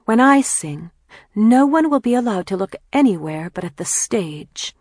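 A woman's voice: mid-range voice, lively intonation, measured pace, a little mellow, no echo, studio quality, pitched above the performer's own voice. A woman voicing a sarcastic, dramatic teenage older sister in an audiobook, with grandly theatrical delivery. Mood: vowing. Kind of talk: acted character dialogue in audiobook.

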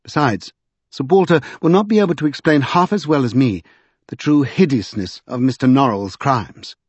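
A man's voice: medium pitch, a little fast, dry recording, muffled recording, voice pushed up, lightly acted. A man voicing a strong-willed, urgent aristocratic lady in an audiobook, with formal, emphatic old-fashioned speech. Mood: indignant.

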